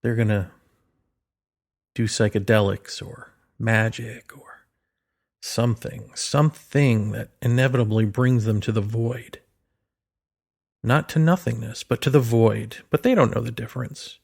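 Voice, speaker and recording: A man's voice: medium pitch, a little slow, vocal fry, long pauses, a little mellow. A man delivering an opinionated philosophical monologue in a sardonic way, leaning on rhetorical questions and emphatic repetition. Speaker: commentator delivering a solo monologue.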